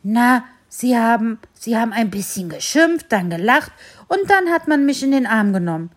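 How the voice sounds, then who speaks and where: A woman voicing a big, cheeky male dog who is quick to take offence, in a children's story. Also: a little high, voice pushed up. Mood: sheepish. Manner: excited, indignant exclamations.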